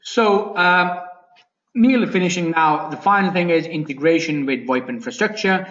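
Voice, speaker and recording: A man giving an informal technical product presentation as a webinar presenter, explaining in a halting, repetitive way with frequent restarts. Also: a little high, a bit bright. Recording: dull, lo-fi webinar audio.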